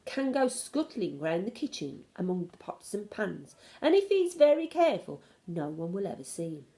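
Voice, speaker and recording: A plucky little boy-mouse from a children's storybook, voiced by a woman reader. The delivery is eager, earnest and determined, with animated rises and falls. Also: medium pitch, normal speed.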